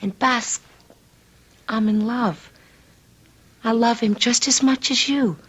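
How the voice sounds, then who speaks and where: A woman's voice: on the high side, steady tone, slow pace, a bit bright, slightly rough, full character voice. An actress playing an earnest, lovestruck young woman with a hard shell, emotional and hesitant, in a radio mystery drama. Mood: earnest longing.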